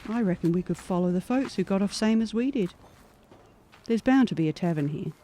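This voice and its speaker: A woman's voice: mid-range voice, expressive, fairly quick, warm tone, lightly acted. A woman playing a practical, upbeat superhuman monster adventurer in an audio horror-comedy, with a folksy, coaxing manner. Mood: weary, practical. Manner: folksy, plain-spoken, coaxing.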